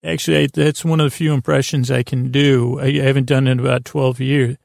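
A man with a creaky, gravelly voice hosting a sleep podcast in a meandering, halting monologue that keeps interrupting itself. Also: medium pitch, level tone, darkish tone, no echo, studio quality. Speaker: podcast host.

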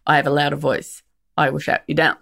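Woman guest, comedian; gravelly, frank, wry, self-correcting. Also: low-pitched, little pitch movement, a bit bright. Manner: frank, wry, with halting restarts. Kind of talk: reflective interview talk.

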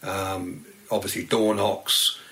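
An Australian man, a former detective, giving halting, rambling expert explanations in an unscripted interview. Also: low-pitched, flat delivery, sharp tone.

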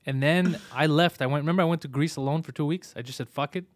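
Brief: man, comedian type, rapid swearing storyteller, dry booth sound. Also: a little high, no room sound. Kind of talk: casual podcast chat.

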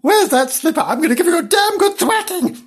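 A storyteller doing the shrill, scolding Mistress. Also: sing-song, unhurried, husky, full character voice. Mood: exasperated. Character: cross woman in charge of the nursery.

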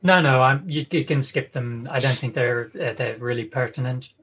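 A man in the audience asking a question in a hesitant, measured way, heard from a distance. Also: mid-range voice, fairly even intonation, a bit bright, slightly rough.

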